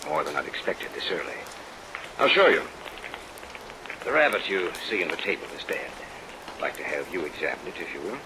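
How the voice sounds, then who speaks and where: A very deep older male scientist from a vintage radio drama. He is fatherly yet driven and obsessed with his research. The old recording is clearly noisy, with rain behind the voice. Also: big pitch swings, fairly quick, pauses often. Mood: earnest, persuasive. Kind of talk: 1950s radio drama acting.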